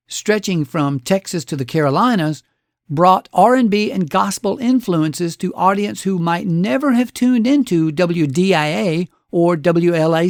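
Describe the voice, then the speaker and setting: A man's voice: sits high, dry recording, clean studio sound. A man hosting a radio show, narrating a scripted story with folksy, dramatic phrasing.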